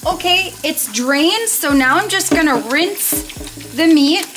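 A woman's voice: very high-pitched, slow, a bit bright, a little hiss or hum. A woman in her thirties chatting casually as she walks through her meal prep in a home kitchen, upbeat with cutesy words. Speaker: vlogger.

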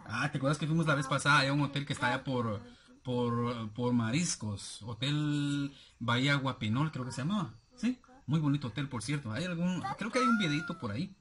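A man in his thirties with a Guatemalan accent and a husky voice, chatting casually as a vlogger and reading out comments with familiar, rambling asides. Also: mid-range voice, animated, a little fast, a bit bright. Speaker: YouTube vlogger. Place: small cluttered room indoors at home.

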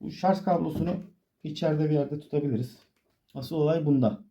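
Faint noisy background with clicks, man, mid-pitched creaky voice, informal tutorial presenter, explaining steps while working. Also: fairly quick, mellow. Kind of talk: casual hands-on tutorial narration.

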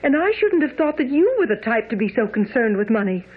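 Gentle young lady, husky-voiced. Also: a little high, very animated, a little fast. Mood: hurt, probing. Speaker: actress in a radio mystery. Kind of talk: old-time radio drama acting. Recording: muffled, narrow-band radio broadcast.

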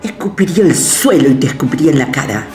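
A woman's voice: extremely low pitch, lively intonation, fast talker, reverberant, music in the background. A woman voicing a vengeful, mocking wife with a Rioplatense Spanish accent. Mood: taunting. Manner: taunting, with cruel laughs.

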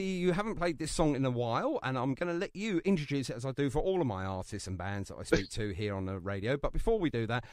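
A man's voice: a little high, no echo, clean studio sound. A man hosting a casual radio interview, chatty and jokey. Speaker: radio host. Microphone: phone line to guest.

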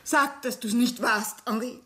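An actress playing an anguished, disbelieving wife in a radio play, halting and pleading. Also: female voice, fairly high pitch, noisy background, lightly acted. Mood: pleading, stunned.

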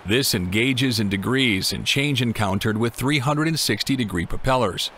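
A man reading a scripted documentary voice-over in a measured, even way, with the occasional odd word slip. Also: medium pitch, slight background noise. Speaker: documentary narrator. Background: music.

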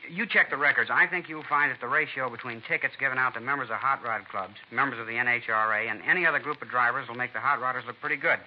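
An actor playing an earnest, defensive young American hot rod club member in a 1950s radio drama, talking slangy and insistent. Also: male voice, mid-range voice, fast talker, few pauses, lightly acted. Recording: dull 1950s radio recording, faint noise.

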